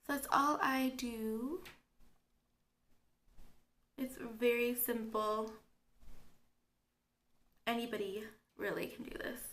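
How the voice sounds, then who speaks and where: A woman's voice: a little high, fairly even intonation, slow, pauses often, a bit bright. Chatty young woman with a stuffy cold. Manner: chatty, explains each step as she works. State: congested from a cold, sore throat. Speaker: beauty vlogger. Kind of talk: casual makeup tutorial.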